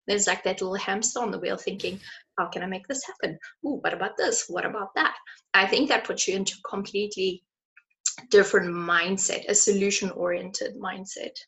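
Gravelly-voiced woman therapist, earnest. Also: mid-range voice, a bit bright, poor audio. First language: not German. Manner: earnest, thoughtful, measured. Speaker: therapist, podcast guest. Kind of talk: reflective interview answer.

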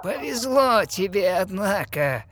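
An actress playing a mocking, sinister sea witch in a verse fairy-tale audio play, with a sneering, theatrical, drawn-out delivery. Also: medium pitch, sing-song, very noisy. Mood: menacing, gloating. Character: mocking sinister sea witch, gloating over her victim.

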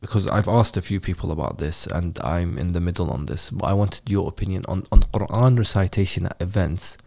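A very low-voiced man with a gravelly edge asks questions in a loose, conversational way. He often stops and restarts his sentences, circling around his point before landing on it. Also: mellow. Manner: halting, many restarts and fillers. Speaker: interviewer. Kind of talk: casual interview.

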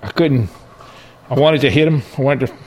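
A man's voice: mid-range voice, moderate pace, darkish tone, a little hiss or hum, poor audio. An older man with a Cajun accent, telling folksy stories from his life with frequent restarts and chuckles. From southern Louisiana. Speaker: interviewee. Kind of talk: personal storytelling.

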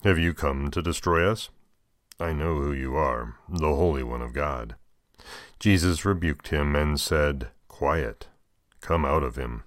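American male, deep register, dry recording. Deacon hosting scripture readings with informal, deliberately phrased commentary. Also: no room sound. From United States.

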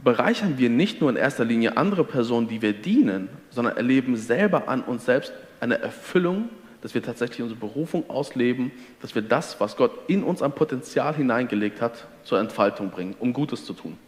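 Man from Ostwestfalen, middle pitch, earnest teacher type, reverberant room. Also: expressive, fairly quick, hardly any pauses. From Ostwestfalen, Germany. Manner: earnest, teacherly, quotes scripture. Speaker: guest. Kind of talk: faith conversation.

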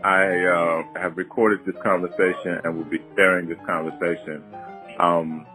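An American man speaking in a measured, slightly hesitant way on a recorded phone call. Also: sits low, level tone. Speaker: interviewer taking a prisoner's call. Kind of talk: phone conversation. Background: guitar and piano music.

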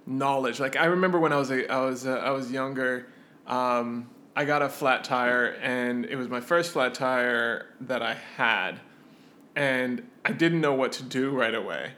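A man co-hosting a podcast in casual chat, with a joking, halting way of talking. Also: medium pitch, bright, forceful tone. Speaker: podcast co-host.

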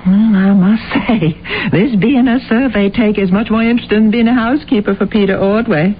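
An actress playing a poised, shrewd woman detective in an old-time radio drama, polite and deliberate in her theatrical delivery. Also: mid-range voice, lightly acted. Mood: chatty, disarming. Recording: tinny, band-limited old radio recording, hiss.

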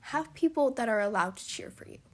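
A young woman with a hoarse, husky voice hosts a solo podcast, her voice fraying in and out. She talks in an encouraging, heartfelt way and often sinks to a whisper. Her pitch sits a little high for a woman. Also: lively intonation, fairly quick. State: hoarse, voice cutting in and out. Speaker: podcast host. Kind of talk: solo motivational podcast talk.

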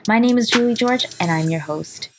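A woman's voice: fairly high pitch, expressive, fairly quick, noisy background. A woman speaking as a podcast host, introducing the show and interviewing a guest.

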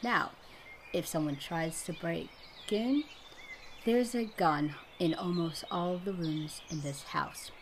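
Woman acting a slow-talking, cold female killer. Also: on the low side, slight background noise. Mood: defensive, menacing. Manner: curt, bossy, threatening. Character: cold, commanding female slasher killer, grudgingly protective. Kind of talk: roleplay audio drama.

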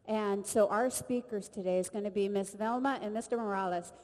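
A woman's voice: mid-range voice, brisk. A woman leading a church women's gathering, talking earnestly and conversationally and then praying aloud. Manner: earnest, conversational, warm address to the group. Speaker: ministry leader leading a service. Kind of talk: church service hosting and prayer. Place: chapel or church hall. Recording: long reverb of a large hall.

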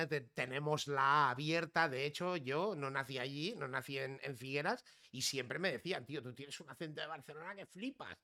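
Easygoing Catalan man, rambling chat. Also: fairly high pitch. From Catalonia, Spain (Girona). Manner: relaxed, rambling, peppers speech with "¿sabes?" and "tío", swears casually. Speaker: podcast conversation participant. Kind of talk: casual chat.

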